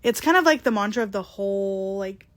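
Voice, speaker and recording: A young woman with a slightly phlegmy voice co-hosting a casual book podcast, blunt and quick to swear. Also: mid-range voice, lively intonation. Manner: blunt, swears freely, laughs easily. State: a bit phlegmy. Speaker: podcast co-host. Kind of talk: casual book club chat.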